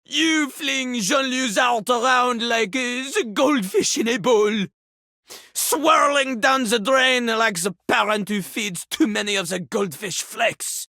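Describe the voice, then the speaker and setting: An actor voicing a pompous, sassy talking toilet who raps in a theatrical French accent, boasting haughtily in a video game. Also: male voice, very high-pitched, slow pace, bright, forceful tone, no room sound, studio quality, heavily acted. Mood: dramatic, wounded. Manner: haughty, third-person boasting, theatrical flourishes. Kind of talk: video game character voice acting, partly rapped.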